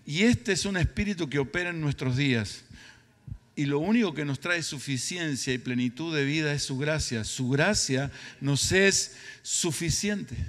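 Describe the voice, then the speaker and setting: A middle-aged or older man with an Argentine Spanish accent preaching emphatically, repeating phrases for effect. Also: fairly high pitch. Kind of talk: sermon. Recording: reverberant hall sound.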